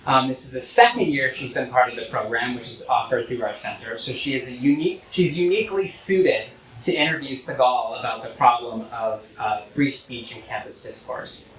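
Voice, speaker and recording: A man's voice: medium pitch, fairly bright tone, slight background noise. A man hosting a university event, reading out the format and introducing the speaker, with some fillers. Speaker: event host. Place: university lecture hall. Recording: echoey hall, distant mic.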